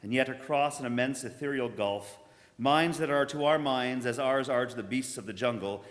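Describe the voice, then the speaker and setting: A man's voice: mid-range voice, normal speed, natural voice. Man playing a grave narrator in a reverberant hall. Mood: grave, ominous. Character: solemn male narrator of a radio drama, grave and portentous. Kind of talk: 1930s radio drama monologue, live stage reading. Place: church, live performance. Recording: live recording in a reverberant hall.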